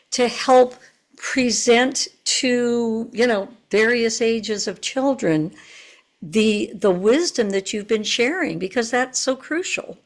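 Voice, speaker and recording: An older woman in her sixties speaks slowly and earnestly on a video call. Her voice sits a little high, and she pauses to search for her words. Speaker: retired teacher, participant. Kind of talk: question in an online seminar.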